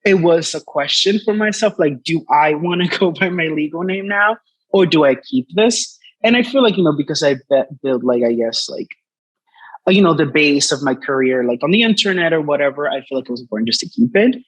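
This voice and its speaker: A man's voice: a little high, a little fast, dry recording, low-quality recording. A man in his twenties from the Dominican Republic, a native Spanish speaker, chatting casually as an interview guest and often padding with "like" and "you know". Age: 20s. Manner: hesitant, frequent "like" and "you know". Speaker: artist guest.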